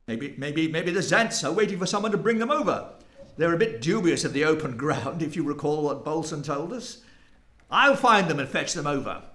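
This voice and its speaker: A man's voice: on the high side, animated, normal speed, a bit bright, reverberant. A man playing a helpful, musing member of a troupe in a radio play.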